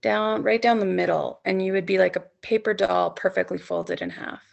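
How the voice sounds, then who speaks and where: A woman leading a guided sleep meditation as a yoga nidra instructor, speaking soothingly in gently coaxing, unhurried phrases with long pauses. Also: medium pitch, steady tone, vocal fry, lo-fi sound.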